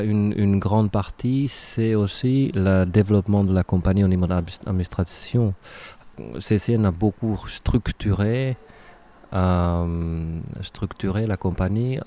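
A man's voice: lowish voice, mellow. A man from Finland in his thirties, speaking with a Finnish accent as a dancer and choreographer interviewed on radio, hesitant with many restarts.

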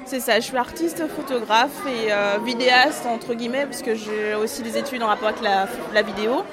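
Young woman, fairly high voice, hesitant with fillers, chatter of a crowd behind. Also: brisk, music in the background. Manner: hesitant, many fillers, laughs often. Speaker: artist photographer, interviewee. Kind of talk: casual radio interview.

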